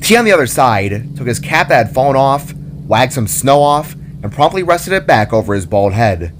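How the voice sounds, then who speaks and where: Man narrating dramatically with lively pitch. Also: moderate pace, a little hiss or hum. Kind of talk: scripted dramatic story narration.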